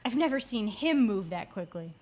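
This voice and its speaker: A woman's voice: medium pitch, expressive, normal speed, darkish tone, a little hiss or hum, natural voice. A young woman playing a sceptical, wry adventuress in an audio drama. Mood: wry, matter-of-fact.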